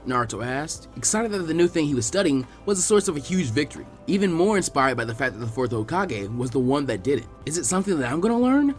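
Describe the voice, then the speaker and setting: Animated, quick-talking male narrator. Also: medium pitch, soft background music. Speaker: fan fiction narrator. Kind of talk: expressive scripted story narration.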